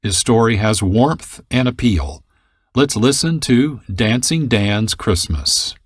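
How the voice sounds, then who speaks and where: A man hosting a radio program in measured, deliberate scripted narration. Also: medium pitch, lively intonation, breathy edge, dry recording, clean studio sound. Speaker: program host.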